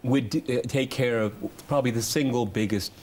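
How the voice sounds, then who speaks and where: Male moderator, 50s, mid-pitched, halting emphatic repetitions, political panel, some background noise. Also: steady tone, a little mellow. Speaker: talk show host and moderator. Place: television studio round table.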